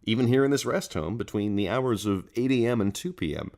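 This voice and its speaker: A man's voice: mid-range voice, animated, fairly quick, darkish tone, natural voice. An actor playing an earnest, polite, hesitant male literary interviewer in an audio drama.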